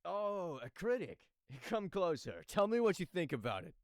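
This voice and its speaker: A man playing a brooding, touchy sculptor in an audio play, proud and quietly menacing. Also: sits high, sing-song, normal speed, dry recording, studio quality. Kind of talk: audio play character acting.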